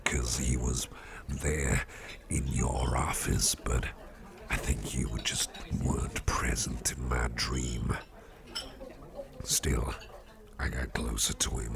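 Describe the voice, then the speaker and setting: Man acting as a rumbling, gravelly, very deep chummy boss. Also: noisy background, heavily acted. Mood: confiding. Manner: rambling, chummy, halting, repeats phrases. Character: friendly, rambling male boss at a Halloween party. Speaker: ASMR roleplay performer. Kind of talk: ASMR roleplay, personal chat to the listener. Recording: music playing behind the voice.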